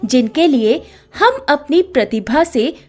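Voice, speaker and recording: A woman's voice: high voice, expressive, takes it slowly, darkish tone. A woman narrating a scripted children's moral story as the storyteller. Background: background music.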